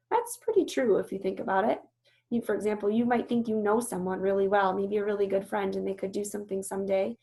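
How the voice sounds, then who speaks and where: A woman's voice: mid-range voice, vocal fry, darkish tone. A woman teacher reading a storybook aloud and explaining it, instructive and often checking that listeners understand.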